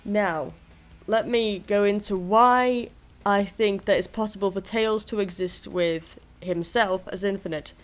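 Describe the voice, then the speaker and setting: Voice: medium pitch, measured pace. A YouTuber presenting fan theories in a casual, self-deprecating commentary addressed to viewers. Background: music.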